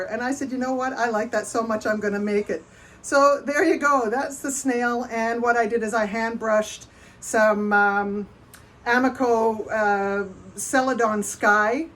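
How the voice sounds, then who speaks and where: High-voiced Canadian woman chatting casually. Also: fairly even intonation, measured pace, fairly bright tone. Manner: chatty and rambling, often breaking off mid-sentence. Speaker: potter hosting a livestream. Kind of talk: casual live show-and-tell of pottery. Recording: noticeable background noise.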